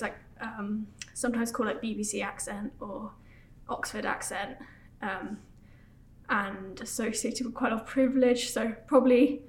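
A young woman student with a British Received Pronunciation accent, casually and hesitantly explaining something as an interviewee. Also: fairly high pitch. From Britain. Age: young adult. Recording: echoey, distant from the microphone.

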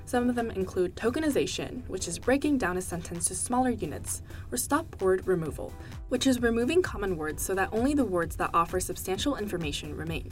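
Woman, first language Korean, animated scripted explainer read over soft music. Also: medium pitch, fairly quick.

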